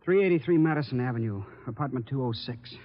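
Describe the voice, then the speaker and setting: A man's voice: mid-range voice, fast talker, lightly acted. An actor playing an earnest, troubled and guarded young man in a 1940s radio drama. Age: 20s. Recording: muffled old radio broadcast.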